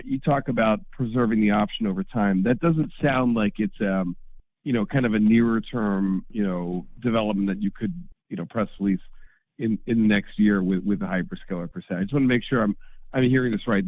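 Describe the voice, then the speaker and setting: Male analyst, lowish voice, informal, rambling, hesitant, dry muffled phone audio. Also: no echo. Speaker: financial analyst asking questions. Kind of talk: casual earnings call question.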